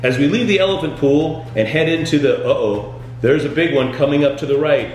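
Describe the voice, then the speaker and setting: A man's voice: a little high, fairly quick, a little mellow. Echo-heavy man doing tour-guide patter. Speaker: tour boat skipper performer auditioning. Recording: very echoey room, music underneath.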